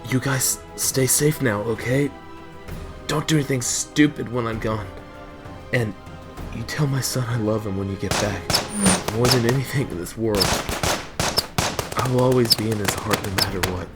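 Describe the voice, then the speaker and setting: A man's voice: medium pitch, normal speed, pauses often, loud music behind the voice, natural voice. A man playing a hardened veteran survivor and father in an audio drama, terse and authoritative. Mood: grave, tender. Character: Jack, hardened veteran survivor and father, commanding, self-sacrificing. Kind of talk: amateur audio fiction acting.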